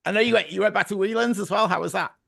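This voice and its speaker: A man interviewing a band over a video call, chatty and upbeat with a slightly croaky voice and frequent laughs. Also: high voice, racing, sharp tone, no room sound, studio quality. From Montreal-based.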